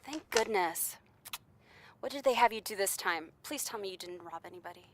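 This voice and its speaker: A woman's voice: mid-range voice, expressive, normal speed, noisy background, close to an everyday voice. An actress playing a worried, loving young girlfriend in a 1930s radio drama, pleading and tender.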